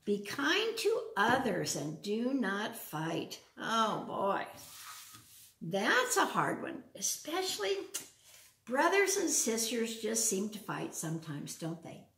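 An older woman reads to small children in a fairly high voice that swings up and down. She speaks in simple phrases and often puts questions to her young listeners. The sound is roomy, as if recorded a little way across a living room. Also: very animated, takes it slowly, a bit bright. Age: older, around 70s. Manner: simple, child-directed talk with frequent questions. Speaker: storyteller reading to children. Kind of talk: children's storytime read-aloud with chatty asides. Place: large open living room with wood floor, indoors. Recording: roomy echo, distant from the mic.

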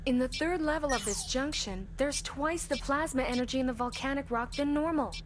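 A woman's voice: high-pitched, brisk, lightly acted. A woman playing a dutiful, analytical ship officer in an anime dub, precise and businesslike.

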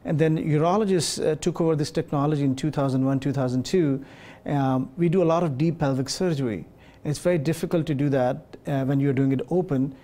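A middle-aged man from Pakistan, a urologist, answering questions in a studio interview in a measured, explanatory way with many fillers, on a lapel mic. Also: on the high side, warm tone. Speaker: urologist guest. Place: television studio set.

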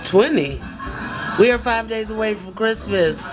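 A hoarse woman in her thirties chatting casually to her vlog camera, talking freely and griping about being sick. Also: mid-range voice, animated, a little slow, over music, rough recording. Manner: chatty, unfiltered, complains freely. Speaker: vlogger. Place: car, home, then big store aisles. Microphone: phone camera held at arm's length.

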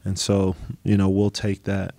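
A middle-aged man coaching basketball, answering reporters after a game, halting, with many ums and restarts. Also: low voice, monotone, gravelly, dark tone. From United States. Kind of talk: post-game press conference answers.

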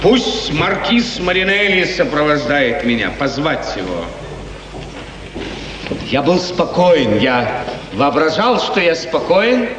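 Restless, high-voiced prince in an old radio play. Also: male voice, sing-song, slow pace. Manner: theatrical, musing aloud, imperious. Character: capricious, restless, lovesick prince of a small state. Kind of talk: 1950s radio play acting. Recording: roomy, distant, noisy 1950s radio recording.

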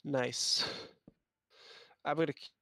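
A man's voice: fairly high pitch, fairly even intonation, takes it slowly, vocal fry, bright, forceful tone, dry recording. Muttering, swearing man in a dry room. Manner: muttering to himself, swearing, sudden outbursts. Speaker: game player. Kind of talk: casual gaming chat.

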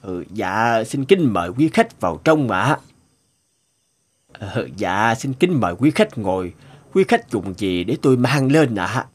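A man's voice: on the low side, very animated, a little slow, breathy edge, exaggerated voice. A man playing a humble, deferential old village innkeeper in a cartoon fairy tale, speaking in a hesitant, polite, servile way.

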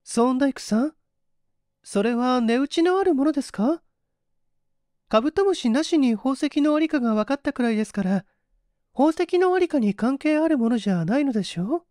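A man's voice: very high voice, animated, a little fast, a little mellow, no echo, clean studio sound, voice pushed up. A man voicing a polite, inquisitive young lady asking questions in a story reading. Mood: curious.

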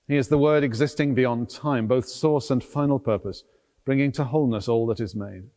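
The long reverb of a big stone church surrounds a middle-aged man of medium pitch. He is a priest leading solemn liturgy, declaiming each short phrase with deliberate care. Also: a little mellow. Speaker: priest, celebrant at a church service. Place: large stone cathedral, at the altar.

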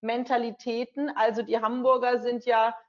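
A woman's voice: a little high, little pitch movement, normal speed. A middle-aged woman speaking conversationally as an interviewer and language teacher. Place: indoor room. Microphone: computer mic on video call. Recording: dull, lo-fi video call.

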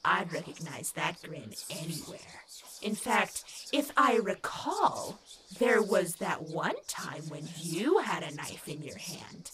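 A woman's voice: fairly low pitch, big pitch swings, takes it slowly, a bit bright, a little hiss or hum, exaggerated voice. A woman voicing a sinister, mocking demon child in a dramatic comic dub, taunting cruelly and sometimes dropping into a whisper.

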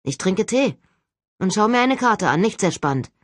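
A reader doing a bright-spirited, cocky young agent. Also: medium pitch, very animated, normal speed, dry recording, studio quality, pitched above the performer's own voice. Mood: breezy. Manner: breezy, cheeky, mock-polite. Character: cocky, polite young male ghost-hunting agent, unflappable. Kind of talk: audiobook character acting.

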